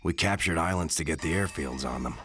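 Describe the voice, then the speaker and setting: An actor playing a Marine recounting his island campaign in measured, grave narration. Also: extremely low pitch. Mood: grave, reflective. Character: reflective American Marine veteran recounting the Pacific campaign. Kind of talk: scripted first-person war game narration. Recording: music under the narration.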